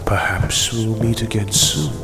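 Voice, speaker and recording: A man playing a mysterious, knowing stranger with a measured, cryptic, genteel manner in an audio drama. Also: on the low side, steady tone, natural voice. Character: mysterious shadowy male stranger, unhurried, knowing, ominously genteel. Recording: music under the voice. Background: loud music.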